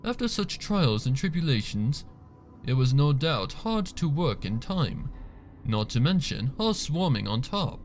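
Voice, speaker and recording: A man's voice: fairly high pitch, very animated, measured pace. A man voicing a servant pony who makes formal, ceremonious announcements in a fantasy story reading, with music behind. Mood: formal, announcing.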